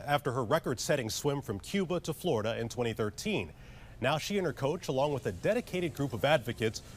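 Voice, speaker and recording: A man's voice: medium pitch, slight background noise. A man with an American accent narrating scripted television news as an anchor.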